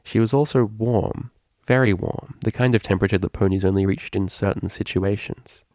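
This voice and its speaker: A man's voice: on the low side, moderate pace, darkish tone, no echo, studio quality. A man narrating a scripted story as a fan fiction reader.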